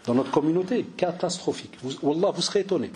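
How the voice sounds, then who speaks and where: A man in his forties from Belgium, an imam giving a religious lecture, telling lively stories and mixing in Arabic phrases. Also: on the high side, fast, background noise. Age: 40s. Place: mosque cultural center hall.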